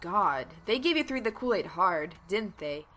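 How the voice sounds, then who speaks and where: A woman's voice: medium pitch, sing-song, a little slow, pushed and bright. A woman playing a blunt, protective, tough survivor in a dramatic audio drama. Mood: blunt, sardonic. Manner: blunt, sardonic.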